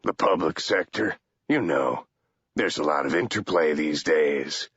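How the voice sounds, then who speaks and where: A man voicing a sardonic male agent who talks mildly but with quiet threat. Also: very deep voice, unhurried, slightly rough, dry recording, pitched below the performer's own voice, heavily acted. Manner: mild on the surface, quietly threatening. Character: menacing, sardonic male "bad cop" agent. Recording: dull, lo-fi audiobook recording.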